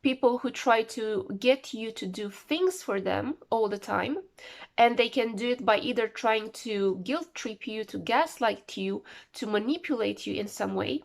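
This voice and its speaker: A woman's voice: fairly high pitch, measured pace, creaky. A woman with the flu talking casually to camera, rambling and repeating words for emphasis. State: sick with flu, voice a bit off. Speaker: vlogger.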